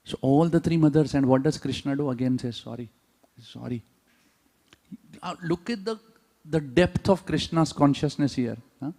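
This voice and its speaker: A man's voice: on the high side, moderate pace, warm tone. A man giving a devotional class at a temple, often checking in with his listeners with a questioning "huh?". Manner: engaging, asks the audience "huh?" often. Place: temple hall. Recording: long hall reverb.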